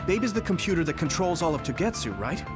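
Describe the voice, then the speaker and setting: A man voicing an earnest young soldier hero in an anime-style game cutscene, quick to worry. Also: a little high, sing-song. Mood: earnest, puzzled. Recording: music behind the voice.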